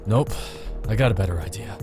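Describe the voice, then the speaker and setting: A man voicing a gruff, laconic, protective man in visual novel dialogue, read aloud. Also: low voice, lively intonation, a little fast, mellow, soft background music, pitched below the performer's own voice. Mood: blunt. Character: Bastion, gruff protective laid-back smoker man.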